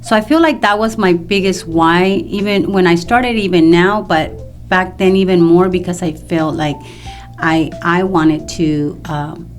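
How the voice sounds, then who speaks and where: Low-pitched woman in her fifties, music behind. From El Salvador. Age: 50s. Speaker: mortgage loan professional, podcast guest. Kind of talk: casual interview conversation. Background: music in the background.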